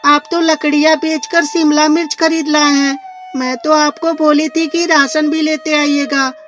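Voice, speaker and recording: A woman voicing a caring, practical farmer's wife in a cartoon moral story, expressive and questioning. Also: sits high, measured pace, voice pushed up. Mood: surprised, doubtful. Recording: music behind the voice.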